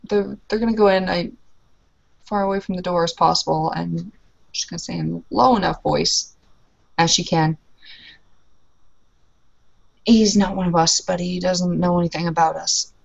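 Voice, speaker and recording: A woman in a tabletop roleplaying session moves between speaking in character and narrating her own moves. She hesitates often, filling gaps with ums and uhs, and her voice has a gravelly edge. The recording is dull and lo-fi. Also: fairly low pitch, a little mellow. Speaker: tabletop player. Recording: muffled lo-fi recording.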